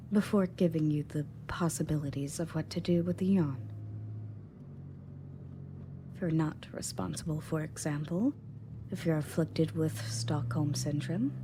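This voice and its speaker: A woman playing a confident, matter-of-fact rescue agent in an audio roleplay, speaking conversationally to the listener. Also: low voice. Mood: reassuring, businesslike. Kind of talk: scripted audio roleplay, in-character monologue to listener. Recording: clearly audible background noise and ambience.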